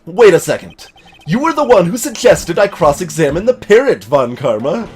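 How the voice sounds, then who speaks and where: An earnest young male attorney from a courtroom video game, acted by a man. He is dramatic and determined with an edge of desperation, his pitch moving freely over background music. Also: sing-song, normal speed, a little mellow.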